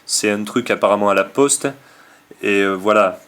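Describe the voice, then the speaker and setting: A man's voice: fairly low pitch, normal speed, fairly bright tone, lightly acted. A man playing a broke, shady middle-aged father who sells off his son's games in a hesitant, wordy, confiding way on a prank call. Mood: pressing, confiding. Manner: hesitant, wordy, falsely candid.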